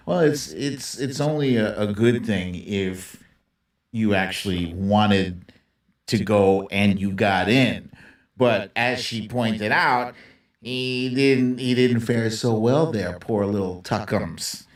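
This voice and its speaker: Slow-talking man in an echoey room. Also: on the low side. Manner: mocking, profane, colloquial. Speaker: host of a live political commentary stream. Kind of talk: casual, slangy political commentary. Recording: roomy echo, distant from the mic.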